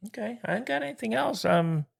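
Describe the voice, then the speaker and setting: A man's voice: very high-pitched, expressive, fairly quick, vocal fry. A man co-hosting a podcast in casual chat, rambling and trailing off, laughing often. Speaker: podcast co-host.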